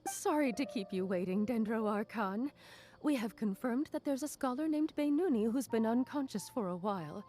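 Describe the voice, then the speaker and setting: A woman's voice: fairly high pitch, expressive, moderate pace, dark tone. A woman voicing a courteous, deferential academy clerk in a video game, formal and helpful.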